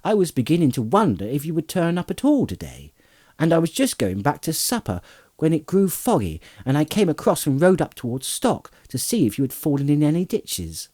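A man's voice: on the high side, sing-song, voice pushed up. A man voicing a cheerful young male hobbit, chatty and teasing, in a storybook reading. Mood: teasing relief. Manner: chatty, teasing, bright and brisk. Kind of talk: audiobook character voice.

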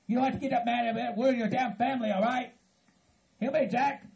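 A man voicing an earnest Southern hillbilly kinsman who stammers, repeats himself and tries to calm others down. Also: very high-pitched, a little fast, gravelly, low-quality recording. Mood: concerned. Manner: stammering, repetitive, pleading for calm.

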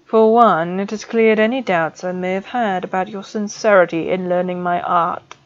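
A woman reader voicing a stern male potions professor who speaks slowly and with clipped control, on a dull lo-fi recording. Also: medium pitch, natural voice. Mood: measured. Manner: slow, measured and clipped.

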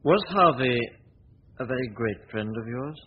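Male, suave radio detective, polite but easily exasperated, husky tone, muffled 1940s sound. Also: mid-range voice, animated, measured pace. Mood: measured, probing. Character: suave, self-assured gentleman detective. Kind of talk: 1940s radio drama acting. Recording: husky, dull old radio recording.

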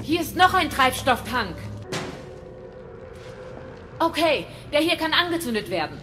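A woman's voice: high-pitched, big pitch swings, unhurried. An actress playing a commanding female rebel leader in a Victorian action drama, giving terse, urgent orders. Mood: urgent, focused. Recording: very loud music under the voice.

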